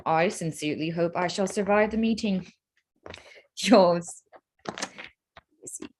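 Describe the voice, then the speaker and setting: Woman playing a spirited, candid young gentlewoman. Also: on the low side, a little slow, slight background noise, close to an everyday voice. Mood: composed, fond. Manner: frank, articulate. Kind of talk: stage-play reading, period drama.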